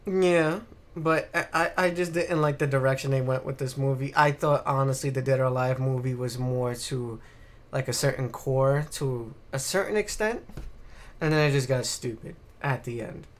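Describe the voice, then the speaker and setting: A man's voice: medium pitch, moderate pace. A man hosting a podcast, chatting casually and ranting with exasperated emphasis, repeated words and casual swearing. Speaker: podcast host. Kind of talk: casual chat, ranting film commentary.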